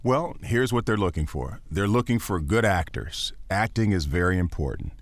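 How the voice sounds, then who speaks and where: A man with a baritone voice, a voiceover actor and teacher, talking as a podcast guest in an earnest, encouraging, emphatic way. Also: expressive, moderate pace, no room sound.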